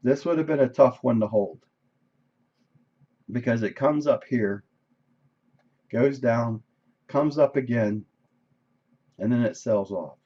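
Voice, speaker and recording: A man reviewing his day's trades on a live stream, casual and thinking aloud, with a voice that is going hoarse. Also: mid-range voice, takes it slowly, pauses often, dark tone, dull audio. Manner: conversational, thinking aloud, frequent "right?". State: losing his voice, coughing. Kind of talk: casual screen-share trade recap. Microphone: desk microphone.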